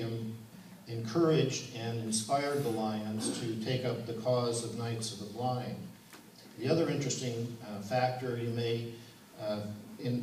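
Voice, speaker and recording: Distant, echoing man, haltingly addressing a gathering. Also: mid-range voice, a little mellow. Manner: hesitant, halting, many ums and restarts. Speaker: presenter of the program addressing an audience. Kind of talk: informal public talk. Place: indoor room, recorded from a distance. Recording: echoey room, distant mic, faint noise.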